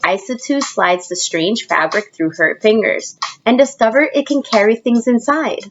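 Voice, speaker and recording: Woman teacher doing a storybook read aloud for kids, slow pace, faint music behind. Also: medium pitch, lo-fi sound. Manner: expressive, pauses to prompt young listeners. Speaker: teacher reading a picture book aloud.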